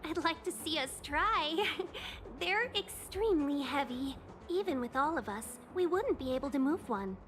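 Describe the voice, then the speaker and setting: A woman's voice: sits high, expressive, measured pace, fairly bright tone. A woman voicing a polite, scheming young female commissioner in a video game, with a courteous, coaxing manner. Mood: sweetly coaxing. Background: game music.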